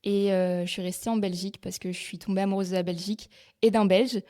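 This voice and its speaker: A young woman from France, in her twenties, talks about her life as a podcast guest. Her voice sits at an ordinary pitch, and her easy, open delivery is broken by small hesitations. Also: fairly even intonation, fast talker, mellow. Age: 20s. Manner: open and friendly, with frequent filler hesitations. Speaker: guest, physiotherapist. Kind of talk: casual interview chat.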